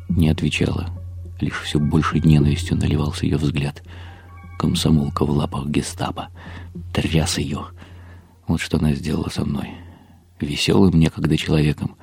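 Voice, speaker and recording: A bitter worn-out older husband telling his story on radio, super low n creaky, wry, faint music under. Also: a little mellow, exaggerated voice. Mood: weary despair. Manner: brooding, ironic, dropping into whispers. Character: weary, bitter husband of an alcoholic, wryly despairing. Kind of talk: dramatized first-person literary narration for radio.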